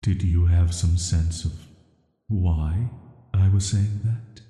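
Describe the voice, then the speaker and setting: A man plays a banished villain who visits dreams, very dark and velvety in tone and very low. He speaks softly and slowly, with a flat, measured hesitancy that is flirty yet dangerous. Long reverb trails every word in this roleplay audio drama. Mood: probing, uneasy. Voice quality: soft, hushed, atmospheric. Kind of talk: scripted roleplay sleep-aid audio drama.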